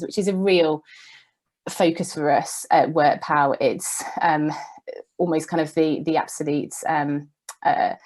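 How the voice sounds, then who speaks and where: Low-voiced hesitant woman. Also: darkish tone. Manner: hesitant, many filler ums. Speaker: podcast co-host. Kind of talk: unscripted podcast chat.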